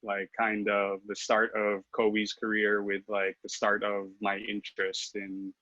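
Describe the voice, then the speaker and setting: A man's voice: fairly low pitch, completely flat delivery, measured pace, bright, forceful tone, no room sound, lo-fi sound. A man, raised in Los Angeles, chatting as a podcast guest, hesitant with many fillers and restarts. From Philippines, raised in Los Angeles. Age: 30s. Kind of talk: casual interview chat.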